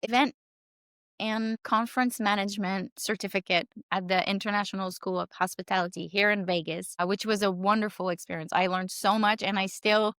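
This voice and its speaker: A woman's voice: medium pitch, fairly even intonation, a little fast, fairly bright tone, dry recording, studio quality. A woman in her forties from Canada with a French Canadian accent, a native French speaker chatting as a guest in an interview, rambling with many fillers. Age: 40s. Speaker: guest, circus performer and realtor. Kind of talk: casual interview chat.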